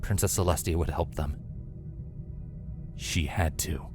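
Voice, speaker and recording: A man reading scripted fantasy fiction as a narrator, in a measured, solemn, dramatic way. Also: extremely low pitch, fairly even intonation, over music. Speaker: narrator, fan fiction reader.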